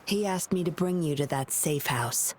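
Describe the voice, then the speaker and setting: A guarded woman patroller from a video game, speaking in a low voice. She is composed and wry, with a solitary streak. Game ambience runs noticeably behind her. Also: animated, moderate pace. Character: guarded, teasing female patroller with a lonely streak. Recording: clear ambient noise behind voice.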